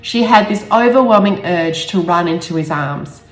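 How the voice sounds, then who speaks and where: Woman, slightly low voice, halting emotional delivery, roomy sound, soft music behind. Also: animated. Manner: halting, stumbling over words, emotional. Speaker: author reading own novel aloud. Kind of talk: amateur storybook reading with personal asides. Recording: roomy echo with faint music.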